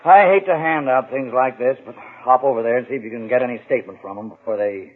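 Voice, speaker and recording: A stern police captain played by a man in a vintage radio drama, with a husky voice of medium pitch. He is brisk, deliberate and used to giving orders. The recording is thin and narrow. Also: lightly acted. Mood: grave, indignant. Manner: authoritative, deliberate. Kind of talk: 1950s radio drama acting.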